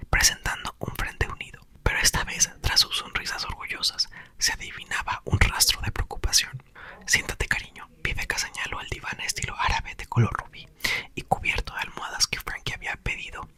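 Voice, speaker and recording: A man's voice: extremely high pitch, bright, forceful tone. Whispering man reading ASMR storybook. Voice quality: breathy, unvoiced whisper. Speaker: ASMR creator reading a book aloud.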